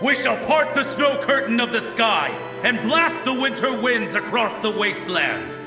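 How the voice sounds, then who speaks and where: A man's voice: extremely high pitch, sing-song, normal speed, exaggerated voice. A man voicing a valiant warrior ghost in a video game, declaiming stirringly in a long echo with music behind. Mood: defiant, proud. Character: valiant warrior ghost proclaiming a battle oath. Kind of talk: video game character acting.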